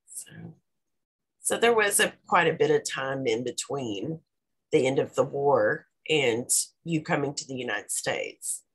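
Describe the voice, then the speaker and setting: A woman interviewing, asking gentle prompting questions at an unhurried pace. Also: rumbling low, animated, breathy edge, rough recording.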